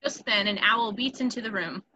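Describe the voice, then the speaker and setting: A woman narrates screenplay action and scene headings. Her voice is on the high side and crisp-edged, with a bright, forceful delivery suited to scripted narration. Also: moderate pace, no room sound, low-quality recording. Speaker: stage directions narrator.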